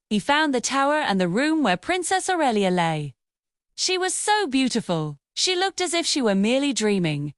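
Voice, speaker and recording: A woman's voice: fairly high pitch, no room sound, studio quality. A woman reading a scripted fairy tale for children as the storyteller.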